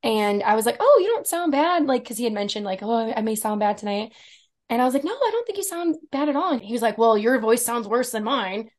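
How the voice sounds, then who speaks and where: A woman in her thirties with a fairly deep voice, telling a dating story in casual chat and acting out the quotes. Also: a little fast. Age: 30s. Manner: animated storyteller, acts out quotes, laughs often. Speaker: podcast guest, esthetician.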